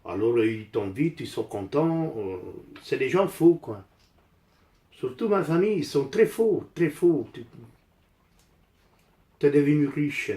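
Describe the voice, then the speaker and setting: A man's voice: fairly high pitch, animated, measured pace, long pauses, dark tone. An older man from Italy speaking French with an Italian accent, rambling casually and bluntly while doing repairs, repeating phrases for emphasis. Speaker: amateur DIY vlogger. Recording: roomy echo.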